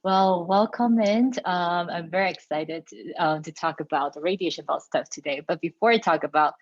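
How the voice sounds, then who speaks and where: A young woman from Malaysia, a PhD student giving a relaxed, hesitant talk with many fillers. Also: lowish voice, moderate pace, no room sound, highs cut off. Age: young adult. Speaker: guest speaker, PhD student. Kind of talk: casual lecture introduction. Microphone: video call.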